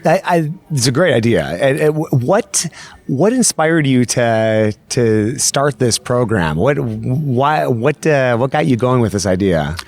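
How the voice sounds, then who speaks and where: A man hosting a podcast interview, talking in a relaxed, friendly way and stumbling over words as he starts his questions. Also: medium pitch, measured pace. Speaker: podcast host. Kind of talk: casual interview. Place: outdoor courtyard.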